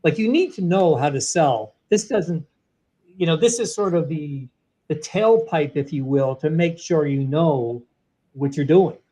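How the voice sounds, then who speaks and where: Animated man explaining step by step. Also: a little high, unhurried. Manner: animated, walks through steps one by one. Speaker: guest, sales training software executive. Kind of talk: interview, explaining a product.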